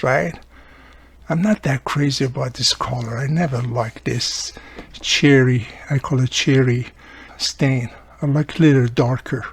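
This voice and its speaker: A man's voice: mid-range voice, breathy edge, dry recording. Chatty man thinking aloud through a tutorial. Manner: chatty, thinking aloud, often addressing the viewer. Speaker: host of a radio repair tutorial channel. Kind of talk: casual hands-on tutorial narration.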